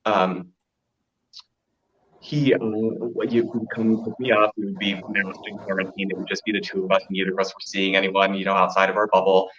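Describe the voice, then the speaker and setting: Deep-voiced man, slightly muffled audio. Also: level tone, a little fast, a bit bright. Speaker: guest, drag performer. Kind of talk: casual interview chat, storytelling. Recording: dull, lo-fi audio.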